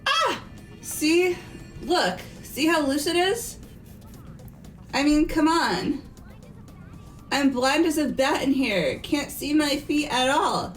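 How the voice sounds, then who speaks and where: Woman playing accusing teenage girl, blunt, fairly high, slow deliberate pace, visual novel fan dub, faint music. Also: lively intonation. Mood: exasperated. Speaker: voice actor in fan game playthrough. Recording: music under the voice.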